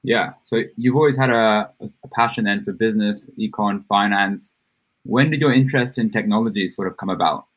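A man's voice: lowish voice, moderate pace, poor audio. A male podcast host giving polished, formal introductions and asking questions.

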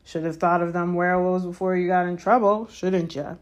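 A woman's voice: fairly low pitch, steady tone, a little fast, darkish tone, dull audio, lightly acted. A woman voicing a spiteful, gloating male caretaker who speaks in a sneering, menacing, colloquial way. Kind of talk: audiobook character voice.

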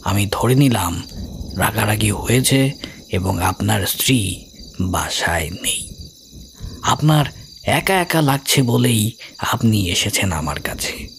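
A man playing a brilliant, calmly amused middle-aged sleuth who explains his reasoning in a measured way, in an audio story. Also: fairly low pitch, slight background noise, exaggerated voice.

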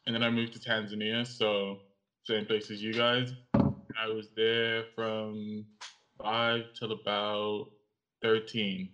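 A young man from Cameroon, a guest in an interview, speaking in a measured, reflective way with some pauses. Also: fairly low pitch, flat delivery, a bit bright. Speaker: guest, audiovisual artist and writer. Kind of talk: interview conversation. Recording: lo-fi, slightly dull sound.

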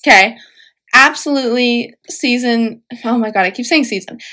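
Woman podcast co-host, bright high voice, lively fan chat with frequent laughs. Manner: animated, laughs often, quick swings in pitch when quoting others. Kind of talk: casual chat.

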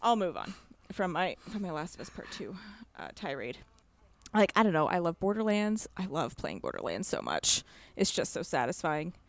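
A woman's voice: fairly low pitch, very animated, normal speed, dry recording. Effusive woman chatting on a dry mic. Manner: effusive, emphatic about favourites. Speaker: podcast guest. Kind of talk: casual chat.

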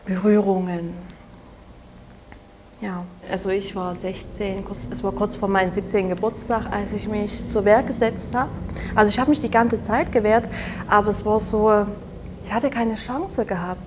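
A woman in her twenties from Saxony with a Saxon German accent, speaking haltingly and softly as an interview guest, often restarting her sentences. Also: medium pitch, fairly even intonation, noisy background. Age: 20s. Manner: halting, soft-spoken, with frequent restarts. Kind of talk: personal testimony in an interview.

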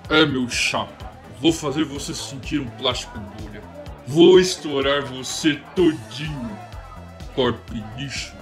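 A man playing a violent, menacing tusked thug in an audio drama, threatening people with slow mockery. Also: medium pitch, music in the background, close to an everyday voice.

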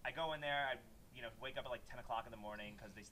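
A man's voice: medium pitch, very fast, noisy background. A man hosting a podcast, chatting casually in a rambling, emphatic, slangy way. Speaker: podcast host. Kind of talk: casual chat, conversational storytelling.

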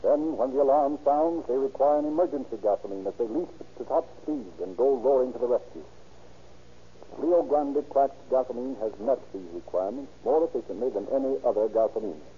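A formal male announcer delivers scripted radio narration in an emphatic 1930s manner. His declamatory voice comes through a muffled old broadcast recording with clear background noise. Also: mid-range voice, a little fast, pauses often.

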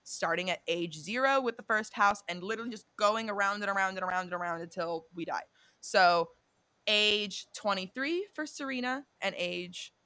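Chatty young female co-host with a bright tone and a dry close recording; swears and rambles. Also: mid-range voice, no room sound. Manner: chatty, swears freely, drifts off and self-corrects. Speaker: podcast co-host. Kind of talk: casual chat about a reality show and astrology.

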